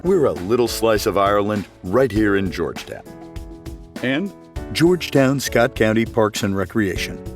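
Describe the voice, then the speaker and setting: A man's voice: lowish voice, animated, moderate pace, faint music behind. A man reading scripted sponsor messages as an announcer. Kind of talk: scripted ad narration.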